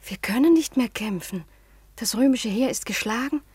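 A woman playing a devoted, frightened princess in a radio drama, tender and halting, her breathy voice often near a whisper. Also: on the high side, lively intonation, darkish tone. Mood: anxious. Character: devoted, frightened young daughter of a Roman emperor. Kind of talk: theatrical German radio drama acting.

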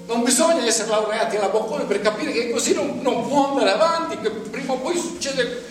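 A restless jobless man who keeps circling back to the same words as he addresses a group. His voice sits high. He sounds far off in a big echoing space, with loud music under him. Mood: rambling. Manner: halting, repeats himself, talks to a group. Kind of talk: stage monologue. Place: theatre stage. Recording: roomy distant stage recording, loud music.

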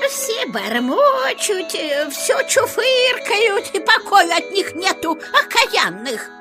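A woman's voice: very high voice, very animated, takes it slowly, music in the background, full character voice. An actress voicing a grumbly, nosy little female forest mouse in a children's audio play, with folksy, exclamatory village speech. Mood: grumbling.

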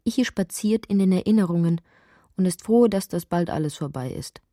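Musing lady narrator for a poetic radio play, warm dark tone, lowish, dry studio sound. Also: lively intonation, fairly quick, no room sound. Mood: musing, wry. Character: musing female narrator of a poetic, absurd literary tale. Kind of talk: literary audio drama narration.

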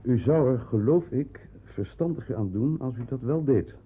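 An actor playing a courteous, grave consulate official in a radio drama, speaking formally and with measured emphasis. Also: male voice, mid-range voice, expressive, breathy edge. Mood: courteous, urgent. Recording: muffled, phone-like old radio recording.

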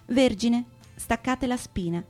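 A woman's voice: on the high side, takes it slowly, darkish tone, faint music behind. A woman reading a scripted radio horoscope, handing out wry, bossy advice. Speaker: horoscope reader.

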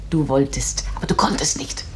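An actress playing a defiant, passionate young sister in love in a radio drama, intense and breathless. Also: female voice, very deep voice, brisk, slightly rough, in a whisper, heavily acted.

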